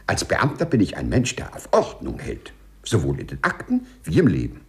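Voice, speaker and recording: Fussy, timid clerk, very expressive. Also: male voice, sits low, normal speed, husky, full character voice. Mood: earnest, anxious. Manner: circumstantial, hesitant, formal phrasing. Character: fussy, timid, correct male civil servant, embarrassed patient. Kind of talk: 1950s German radio play acting. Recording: muffled 1950s radio play recording.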